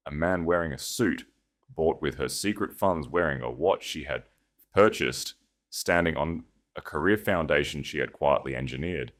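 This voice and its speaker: Kalın sesli erkek hikâye anlatıcısı. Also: male voice, extremely low pitch. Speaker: audiobook narrator. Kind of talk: dramatic scripted fiction narration.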